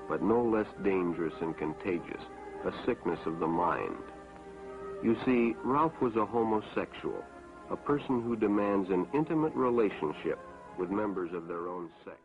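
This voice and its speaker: A man's voice: deep voice, muffled recording. A man narrating a 1950s social guidance film in a grave, moralizing manner, on an old film soundtrack. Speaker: narrator of a vintage educational film.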